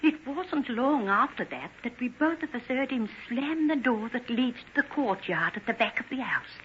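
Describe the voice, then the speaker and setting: An actress playing an anxious, gossipy working-class English housekeeper, speaking in a breathless, colloquial way in an old radio drama. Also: female voice, fairly high pitch, natural voice. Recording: dull, noisy old radio recording.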